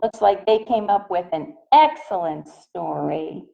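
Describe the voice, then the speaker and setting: A woman reading a picture book aloud expressively to children in a teacherly way, pausing to put questions to them. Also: fairly low pitch, animated, creaky, dark tone, dull audio. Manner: asks young listeners questions.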